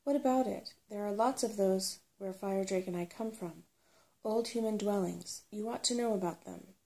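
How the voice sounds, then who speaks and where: A woman's voice: mid-range voice, normal speed, mellow, close to an everyday voice. A woman reading the part of a crabby, bossy, sarcastic female brownie in a storybook. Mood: dismissive.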